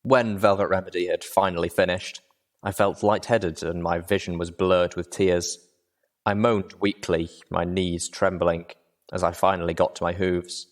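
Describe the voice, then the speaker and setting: Male audiobook reader, low-pitched, measured, expressive delivery of dramatic first-person fiction.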